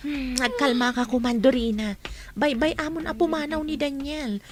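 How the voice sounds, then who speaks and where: A woman's voice: high-pitched, normal speed, noisy background, natural voice. A young woman acting a level-headed student friend who scolds her classmate in an audio drama. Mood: chiding. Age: young adult. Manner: chiding, calm.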